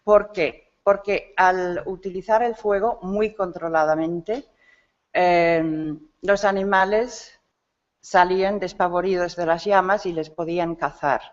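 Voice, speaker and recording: A slow, deliberate lecturer working through a slide talk in a conference hall. The speech halts often, with restarts and self-corrections while searching for words. The recording sounds dull and lo-fi. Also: medium pitch, fairly even intonation. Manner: searches for words in a rusty second-nature language. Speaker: symposium speaker.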